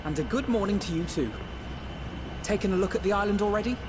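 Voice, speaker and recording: A man's voice: high-pitched, fast, background noise, close to an everyday voice. A man playing a polite, friendly young adventurer in a fantasy game. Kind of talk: scripted fantasy game dialogue. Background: music.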